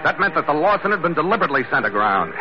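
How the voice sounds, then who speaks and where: Tough sea captain, old-radio sound. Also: male voice, fairly high pitch, very animated, rapid-fire. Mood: hard-boiled. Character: tough, decisive sea captain who narrates his adventures. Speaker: actor in the lead role. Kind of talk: classic radio drama acting and narration. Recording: dull, noisy old radio recording.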